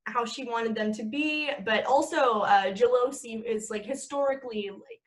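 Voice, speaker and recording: A woman's voice: fairly high pitch, moderate pace. A woman in her twenties, a podcast guest and actress, talking casually with lots of filler words and easy laughter. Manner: chatty, heavy with "like" fillers, laughs easily. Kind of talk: casual conversation.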